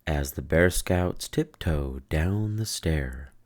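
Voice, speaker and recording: A storybook narrator reads a children's tale aloud. The voice is extremely low and moves along slowly, line by line. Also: animated.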